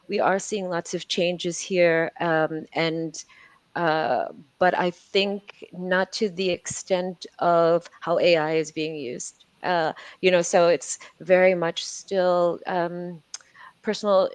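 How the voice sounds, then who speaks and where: A woman with a lowish voice answers as a panelist. Her sound is dry and close, with almost no room. She speaks earnestly and often restarts and repeats words. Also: fairly even intonation, slow pace, dry recording. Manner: earnest, repeats words while building thoughts.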